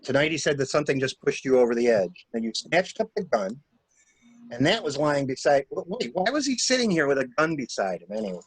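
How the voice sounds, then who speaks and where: A man acts a probing stranger in a murder mystery reading. His slightly husky voice is lively and varied, coolly insistent and a little halting, recorded close and dry. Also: mid-range voice, dry recording, rough recording. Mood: coolly curious.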